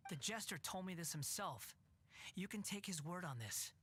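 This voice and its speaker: A sardonic, weary wanderer god. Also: male voice, high voice, lively intonation, normal speed, husky, heavily acted. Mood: cool. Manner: cold, sardonic, quiet. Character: bitter, proud young male puppet-god wanderer. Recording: game audio with soft music.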